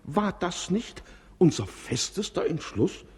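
A man's voice: high-pitched, sing-song, slow, breathy edge, full character voice. An actor playing a vain, muddled old king in a 1950s radio play, dithering and trailing off. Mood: pondering. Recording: old mono recording with long reverb.

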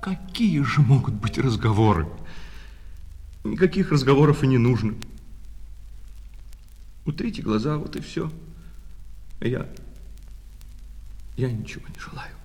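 An actor in a radio play voicing a lovesick shop clerk who swings between polite sales patter and bitter reproach. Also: male voice, mid-range voice, lively intonation, unhurried, long pauses, darkish tone, slight background noise. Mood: pained, resigned. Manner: servile sales patter alternating with reproachful, insistent talk. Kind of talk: Russian radio play of a classic short story. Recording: long reverb with music behind.

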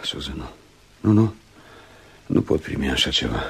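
A man's voice: a bass voice, sing-song, creaky, slightly rough, full character voice. An actor playing a passionate, devoted male doctor in an emotional, dramatic Romanian radio play. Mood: grave, resolute. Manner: emotional, dramatic, swinging from murmurs to cries. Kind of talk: Romanian radio theatre acting.

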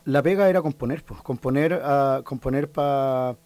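A man's voice: medium pitch, normal speed, a little mellow, dry recording. A Chilean man in his thirties, a folk singer talking in a casual interview, hesitant and full of restarts. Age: 30s. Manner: hesitant, many restarts and fillers.

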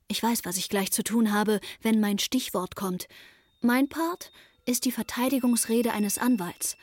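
A woman's voice: fairly high pitch, darkish tone. An actress playing an intense, troubled young woman who narrates her breakdown in a wry, matter-of-fact way in a radio play.

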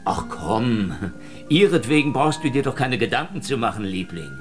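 An actor playing a wisecracking, flirtatious, world-weary agent who narrates and talks in a sardonic noir radio-drama style. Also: male voice, mid-range voice, expressive, moderate pace, soft background music, natural voice. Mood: feigned sweetness. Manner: sardonic, teasing. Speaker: main character and narrator.

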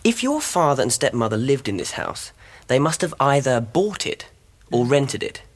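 A young man playing an eager, determined newlywed amateur sleuth in a radio drama, with an animated and decisive manner. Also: mid-range voice, normal speed. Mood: thoughtful. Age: young adult.

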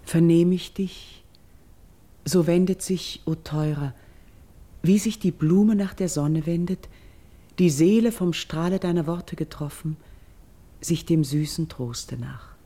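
An actress playing a gentle, troubled priestess of Greek myth in a classical verse radio drama, speaking solemnly and lyrically. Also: female voice, on the low side, husky. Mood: tender, grateful. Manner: solemn, lyrical, deliberate stage diction.

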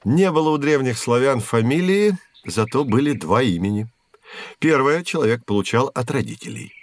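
A man's voice: medium pitch, lively intonation, normal speed, close to an everyday voice. An actor playing a patient, knowledgeable man teaching children, explaining things like a storyteller in scripted educational narration. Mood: patient, explanatory. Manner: explanatory, storytelling, clearly phrased.

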